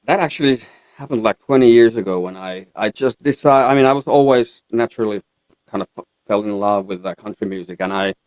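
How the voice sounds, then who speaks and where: A man from Finland with a Finnish accent, chatting casually as an interview guest in a halting way. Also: on the low side, darkish tone, dry recording, poor audio. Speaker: guest country singer-songwriter. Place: radio studio.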